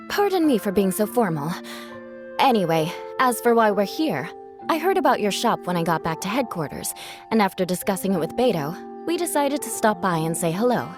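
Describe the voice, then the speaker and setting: An actress plays a young female knight officer in a video game. She speaks in a medium register with courteous, measured formality and an earnest sense of duty. Music is clearly audible underneath. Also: mid-range voice, big pitch swings, lightly acted. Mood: polite. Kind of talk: video game cutscene acting. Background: background music.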